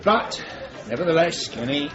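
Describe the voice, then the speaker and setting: A middle-aged police chief inspector acted by a man, cynical and blunt, trading dry banter in a fairly high male voice. It is naturalistic radio drama with music behind it, on a slightly dull recording. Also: animated, normal speed, natural voice. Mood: sardonic banter.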